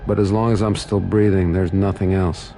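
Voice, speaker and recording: A man's voice: sits low, level tone, normal speed, vocal fry, warm tone, slight background noise. An actor playing a grim, weary infected soldier in a video game, narrating his thoughts in a brooding, understated, resolute way. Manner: brooding, understated inner monologue.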